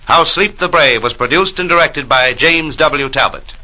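A man's voice: on the high side, normal speed, pushed and bright, rough recording. An American man reading scripted credits formally and measuredly as a 1940s radio announcer. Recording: 1940s radio broadcast recording.

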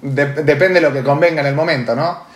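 A man's voice: fairly high pitch, brisk. Male podcast host, Argentine accent, opinionated and emphatic casual delivery, echoing room sound. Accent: Argentine Spanish. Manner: emphatic, repeats phrases for stress. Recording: echoey room.

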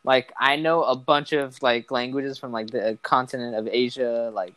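A young man from Los Angeles hosting a podcast, chatting casually in an excitable, slangy way. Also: mid-range voice, normal speed, no echo. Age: young adult. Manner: excitable, slangy, says bro and dude a lot. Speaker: podcast host. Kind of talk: casual chat.

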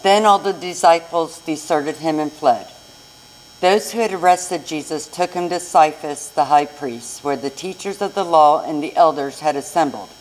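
A woman's voice: deep voice, normal speed, a little hiss or hum, rough recording. A woman narrating a scripted scripture reading in church. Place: inside a church.

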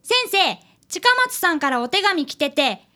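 A woman's voice: high-pitched, animated, measured pace, sharp tone, full character voice. A woman in a radio drama playing an earnest child apprentice detective who narrates the case. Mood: cheerful. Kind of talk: scripted radio drama acting.

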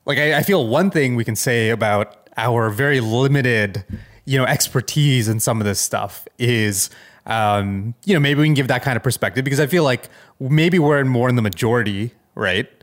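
Male co-host, mid-range voice, informal talk, halting as he thinks out loud. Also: medium pitch. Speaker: podcast co-host. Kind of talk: casual chat.

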